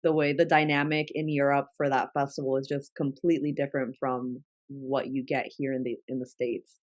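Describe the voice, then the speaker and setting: A woman's voice: very deep voice, level tone, a little fast, dry recording. A young woman from New York whose first language is Spanish, chatting casually as an interview guest with lots of "like" fillers. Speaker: guest, travel content creator.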